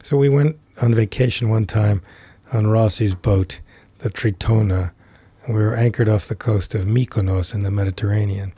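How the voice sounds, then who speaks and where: A middle-aged man guesting on a podcast, telling a reflective story in an unhurried, thoughtful way with many fillers and restarts. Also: lowish voice, steady tone, dark tone, slightly rough, no room sound. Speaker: podcast guest, tai chi teacher.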